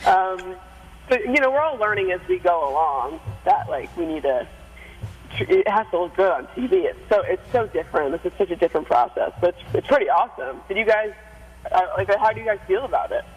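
A woman in her twenties from Staten Island with a deep, raspy voice, an excited singer chatting with radio hosts and laughing often. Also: a little fast, low-quality recording. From Staten Island, New York. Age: 20s. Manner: giggly, excited, full of fillers. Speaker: singer and interview guest. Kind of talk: casual radio interview.

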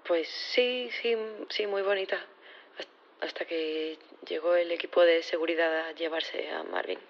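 Casting: nervous female professor testifying; lowish voice, halting and unsure, naturalistic audio drama, slightly dull sound. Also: fairly even intonation. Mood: nervous, tender. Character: nervous, hesitant female science professor on witness stand. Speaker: actor in audio fiction.

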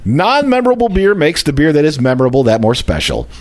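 A man's voice: a little high, wildly swooping pitch, fast talker. A man hosting a casual beer podcast, rambling and joking with plenty of fillers and restarts. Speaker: podcast host. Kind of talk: casual chat about beer.

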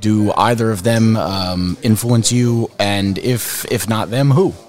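Low-voiced laid-back male interviewer. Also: slow, darkish tone. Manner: laid-back, joking, stumbles on words. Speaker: correspondent interviewer. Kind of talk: casual interview questions.

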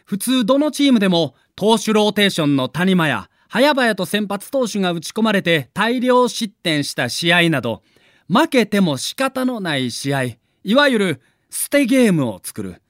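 High, animated male documentary narrator, Kansai accent. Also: normal speed, no echo, studio quality. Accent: Kansai Japanese. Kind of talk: dramatic scripted narration.

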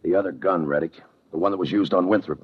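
An actor playing a hard-boiled, sardonic police lieutenant in a 1950s radio crime drama, with a clipped, tough delivery, on a muffled old recording. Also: male voice, low-pitched, fast talker, husky. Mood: dry, in control.

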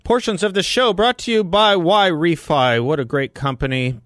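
A man's voice: fairly high pitch, moderate pace, fairly bright tone, no echo, clean studio sound. An American man hosting a talk radio show, speaking to listeners in a conversational broadcast style. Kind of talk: live talk radio chat and host-read ads.

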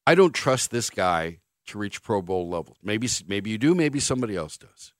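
A man hosts sports talk radio, his delivery blunt and sarcastic. His pitch swings widely as he rants. The studio mic sounds close and dry. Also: sing-song, normal speed, no echo. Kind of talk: opinionated sports radio monologue. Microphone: studio microphone.

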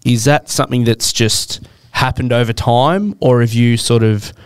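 A man's voice: mid-range voice, steady tone, moderate pace, smooth, no echo, studio quality. A man with an Australian accent, hosting a casual podcast interview and asking questions in an easygoing, friendly way.

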